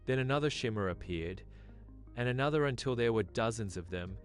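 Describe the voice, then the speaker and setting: Woman narrator, very deep pitch, children's bedtime storytelling, soft music behind. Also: lively intonation, fairly quick.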